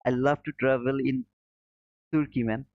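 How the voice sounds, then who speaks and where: A man's voice: mid-range voice, steady tone, moderate pace, highs cut off. A man from Bangladesh hosting a trading livestream, reading viewer comments aloud and answering in a friendly way, calling people brother and chuckling often. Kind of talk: casual live chat reading viewer comments.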